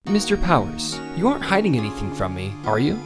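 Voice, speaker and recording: A woman's voice: extremely low pitch, big pitch swings, brisk. A woman playing an earnest, dry-witted young male rookie lawyer in a video game fan dub. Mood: earnest, probing. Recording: music behind the voice. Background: music.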